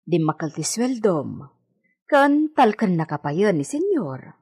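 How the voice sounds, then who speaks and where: A woman's voice: fairly low pitch, very animated, takes it slowly, a little mellow, breathy edge, full character voice. A woman with an Ilocano accent acting a practical, reassuring housekeeper in a radio drama, coaxing and giving advice. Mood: chiding.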